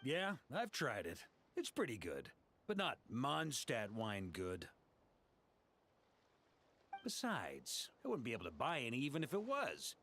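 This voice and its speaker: An actor playing a jovial, easygoing grand master of knights in a video game, with a folksy, informal manner. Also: male voice, mid-range voice, wildly swooping pitch, unhurried, long pauses, fairly bright tone, slight background noise, full character voice. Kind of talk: English video game voice acting.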